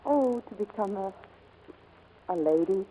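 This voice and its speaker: A woman's voice: medium pitch, expressive, measured pace, dark tone, a little hiss or hum, close to an everyday voice, poor audio. An actress playing an earnest, romantic but guarded young woman pilot in 1940s radio drama style. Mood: tender, romantic. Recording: old 1940s radio broadcast, music behind.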